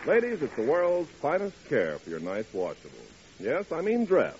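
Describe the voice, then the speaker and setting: A man's voice: fairly high pitch, huge pitch swings, normal speed. A man announcing on 1940s radio, with polished, emphatic commercial salesmanship. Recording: muffled, hissy 1940s radio recording.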